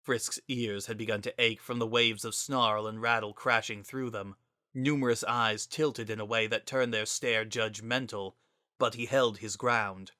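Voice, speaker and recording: A man's voice: fairly low pitch, fairly even intonation, measured pace, bright, forceful tone, no echo, clean studio sound. A man narrating a scripted dramatic story as its narrator.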